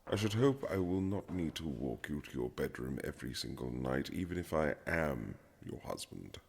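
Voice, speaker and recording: Casting: male actor for haughty period-romance husband, bottomless bass with expressive swings, dry teasing formality. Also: creaky, breathy edge, heavily acted. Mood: cool, mocking. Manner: formal, dry, teasing, measured aristocratic phrasing. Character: reluctant aristocratic young husband, haughty, sardonic gentleman. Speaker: audio roleplay performer. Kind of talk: romantic period-drama ASMR roleplay, scripted.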